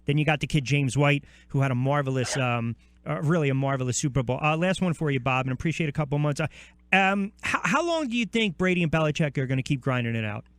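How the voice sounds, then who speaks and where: A man's voice: fairly high pitch, lively intonation, fast, no echo. A man hosting a sports talk radio interview, informal and punchy, piling up examples as he goes.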